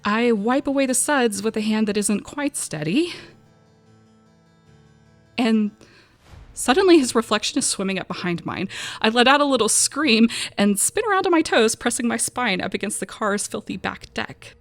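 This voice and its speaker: A woman's voice: fairly high pitch, expressive, moderate pace, soft background music. Woman narrating a suspenseful audio drama. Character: frightened young woman telling an eerie story. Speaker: voice actor narrating a short story.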